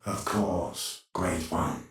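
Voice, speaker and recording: A man's voice: deep voice, steady tone, drawn-out words, background noise. A man voicing a devoted, solemn machine warrior who serves a king in a video game. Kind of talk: dramatic video game cutscene acting. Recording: cavernous echo, distant from mic.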